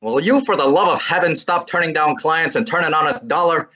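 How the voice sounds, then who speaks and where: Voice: medium pitch, expressive, fairly quick, bright, forceful tone, slightly rough. A student playing an exasperated young male assistant. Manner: pleading, wisecracking, insistent. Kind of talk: student radio drama. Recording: dull lo-fi recording.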